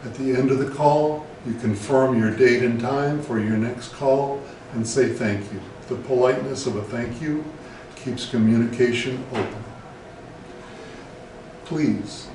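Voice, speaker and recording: A man with an American accent, a therapist presenting scripted educational advice in a measured, deliberate way. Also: medium pitch, darkish tone. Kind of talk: scripted educational talk to camera. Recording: very echoey room, distant mic, faint noise.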